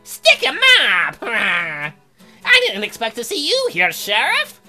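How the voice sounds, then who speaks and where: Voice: mid-range voice, wildly swooping pitch, slow, pushed and bright, faint music behind, pitched above the performer's own voice, heavily acted. A game player voicing a mocking, theatrical male bank robber gang leader from the Wild West. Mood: gloating.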